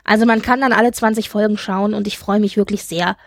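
A woman's voice: medium pitch, steady tone, quick pace, dry recording, clean studio sound. A woman co-hosting a podcast in casual conversation, chatty and enthusiastic as she goes. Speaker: podcast co-host. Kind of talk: casual chat about TV series news.

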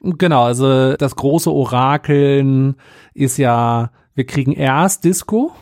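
A man co-hosting a podcast, casually running through news with hesitant pauses and dry humour. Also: medium pitch, darkish tone, dry recording. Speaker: podcast co-host.

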